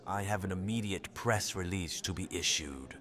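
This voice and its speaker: Man playing a low-voiced, smug dictator. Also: lightly acted. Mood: breezy authority. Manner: measured, pompous, darkly casual. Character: smug, coolly menacing male dictator, world president. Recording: loud music and crowd behind.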